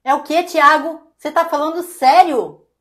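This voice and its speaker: A woman's voice: high voice, normal speed, a bit bright. A woman playing an indignant, domineering mother of a grown son in a domestic drama, guilt-tripping and dismissive, with a Brazilian accent. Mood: indignant, wounded.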